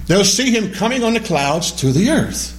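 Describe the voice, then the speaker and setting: A man teaching a Bible study class, animated and questioning, repeating key words as he explains them. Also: high voice, normal speed. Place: classroom or church hall with a small group.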